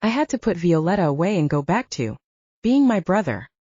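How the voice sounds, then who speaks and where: Female audiobook narrator, low voice, animated first-person telling; dry, dull lo-fi sound. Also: brisk, slightly rough, no room sound.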